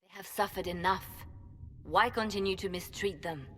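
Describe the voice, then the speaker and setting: A woman's voice: mid-range voice, normal speed, loud music behind the voice, lightly acted. A woman playing a shrewd, principled advisor in a video game, controlled and pointed. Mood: reproachful. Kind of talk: video game cutscene acting. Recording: reverberant hall, faint ambient noise.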